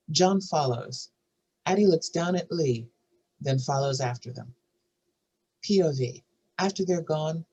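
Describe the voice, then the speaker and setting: A man's voice: on the high side, darkish tone, breathy edge, poor audio. A man narrating scripted scene directions as part of a screenplay table read. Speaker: narrator reading stage directions.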